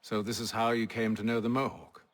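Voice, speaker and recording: Gentleman commander played by a man, low-pitched, cool and formal, asking clipped, probing questions in a period game drama. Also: flat delivery, fairly quick, lightly acted. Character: cool, purposeful gentleman leader on a secret search. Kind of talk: video game cutscene acting.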